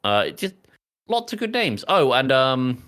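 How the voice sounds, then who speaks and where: A man's voice: mid-range voice, sing-song, unhurried, a bit bright. A man co-hosting a podcast, chatting casually in a nerdy, explanatory way. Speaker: podcast co-host. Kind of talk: casual chat.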